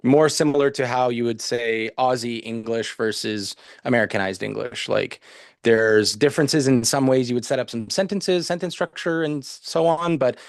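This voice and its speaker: A man's voice: medium pitch, moderate pace, dry recording, studio quality. A man from Canada, a podcast guest, chatting casually with lots of fillers and restarts. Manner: rambling, many fillers and restarts. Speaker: podcast guest, nutrition professional. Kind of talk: casual interview chat.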